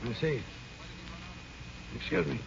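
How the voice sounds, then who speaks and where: A man playing a stern, embittered elderly uncle with a gravelly voice and a curt, cold manner, in an old-time radio drama. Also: mid-range voice. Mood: cold, hostile. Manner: curt, cold, slow-spoken. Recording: muffled, hissy old radio recording.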